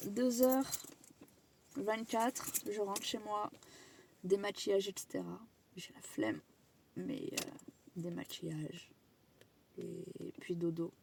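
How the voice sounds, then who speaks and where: A woman's voice: fairly low pitch, creaky, darkish tone, a little hiss or hum. A young woman vlogging in casual chatty talk, rambling and swearing a little, sick and tired. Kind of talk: casual vlog chat to camera.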